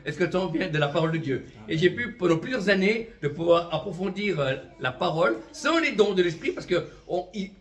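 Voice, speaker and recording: A man in his fifties, from Vietnam, tells his religious testimony as an itinerant minister. His voice sits a little high for a man, and he speaks with fervent conviction. He scatters amens and voilàs through his talk and chuckles lightly now and then. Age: 50s.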